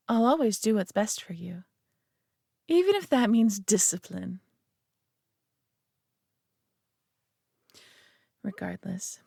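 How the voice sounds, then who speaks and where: A woman playing a prideful, possessive alien being in a roleplay audio drama, talking down to the listener with coaxing, deliberate care and dropping into whispers. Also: a little high, gravelly, dark tone, dry recording, clean studio sound, exaggerated voice. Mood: stern, patient. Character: prideful, possessive female superior alien being, condescendingly caring.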